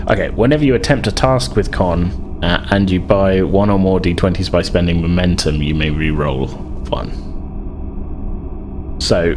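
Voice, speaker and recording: A man acting as game master, narrating and improvising a tabletop role-playing game in a halting way. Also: low-pitched, noisy background. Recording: music behind the voice.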